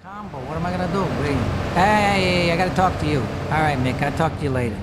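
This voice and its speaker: A man's voice: a little high, fast, close to an everyday voice. An actor playing a bossy, streetwise male mobster nightclub owner in a video game cutscene. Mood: commanding. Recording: clear vehicle and ambient noise.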